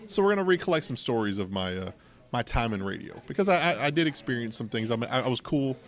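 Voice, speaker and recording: Middle-aged American male podcast host, mid-pitched, casual raconteur who wanders into asides. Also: lively intonation, fast, a bit bright. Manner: conversational, digressive, frequent asides. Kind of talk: casual storytelling and banter. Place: local game store.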